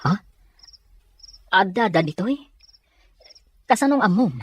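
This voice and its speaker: A man playing a blunt, scolding young buddy in an audio drama, reproachful and questioning, with a slightly rough voice. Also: high-pitched, expressive, slow, full character voice. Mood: surprised. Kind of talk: Ilocano audio drama acting.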